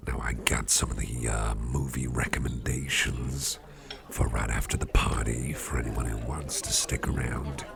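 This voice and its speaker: A man playing a jovial, impish old devil boss in a soft, rambling, genial ASMR roleplay chat with a gravelly voice. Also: steady tone, noisy background, full character voice. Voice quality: gravelly, fry-laden deep voice. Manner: unhurried, rambling, genial, with repeated phrases. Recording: party crowd murmur behind voice. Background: party crowd chatter.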